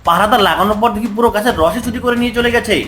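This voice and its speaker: A man's voice: high-pitched, racing, a bit bright, soft background music. A man voicing a blustering, boastful village official who owns an orchard in a cartoon, with an agitated, scolding manner. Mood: angry scolding. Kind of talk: cartoon voice acting.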